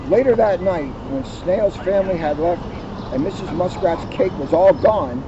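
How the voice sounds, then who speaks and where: Muffled audio with heavy background noise carries a fairly high-voiced man reading a picture book aloud. He is plain-spoken and encouraging, talking straight to children between passages. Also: moderate pace. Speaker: police officer reading a children's story. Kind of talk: storybook read-aloud with casual chat.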